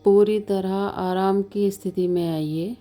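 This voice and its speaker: A woman with a mellow, even voice guides a relaxation practice over music. She speaks calmly as a meditation instructor, unhurried and soothing, leaving long pauses between short instructions. Her intonation stays very flat and steady. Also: medium pitch. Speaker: yoga nidra meditation instructor. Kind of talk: slow guided meditation narration.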